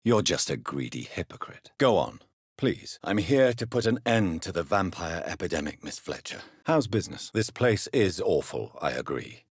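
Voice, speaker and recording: A principled, brooding English gentleman doctor turned vampire in dramatic video game style. The voice is very low and breathy, recorded close and dry. His delivery is formal and earnest, with a wide, expressive swing in intonation. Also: dry recording, heavily acted. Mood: courteous, earnest. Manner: formal, measured, earnest, intense. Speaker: video game lead character voice.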